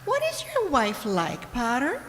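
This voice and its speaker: A woman's voice: on the high side, huge pitch swings, measured pace, fairly bright tone, full character voice. A woman playing a naive, curious carrot lady in a satirical radio comedy, with a wondering, dramatic delivery. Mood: curious, coy. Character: naive, curious female carrot, easily shocked. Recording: echoey reverberant hall sound.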